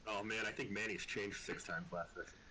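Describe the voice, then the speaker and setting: A man chatting casually as game master of an online tabletop game, often halting and repeating words. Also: on the low side, steady tone, pushed and bright, poor audio.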